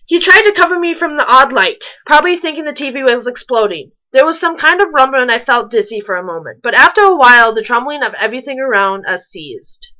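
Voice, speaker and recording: Female amateur reader, high and very bright voice, informal narration with casual asides. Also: animated, brisk, hardly any pauses, poor audio. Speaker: reader and co-commentator of fan fiction. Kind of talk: storybook-style reading mixed with casual mocking commentary.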